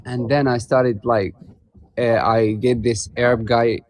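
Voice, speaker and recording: A man's voice: medium pitch, level tone. Male guest, native Arabic speaker, loose rambling storyteller, mid voice. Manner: tells stories in loose, halting English. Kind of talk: casual chat.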